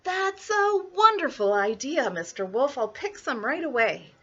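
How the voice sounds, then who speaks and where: A woman's voice: high voice, sing-song, measured pace, pushed and bright, husky, lo-fi sound, pitched above the performer's own voice, heavily acted. A woman voicing a trusting, polite little girl in a storybook, earnest and wide-eyed in a sing-song way. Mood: naive cheer.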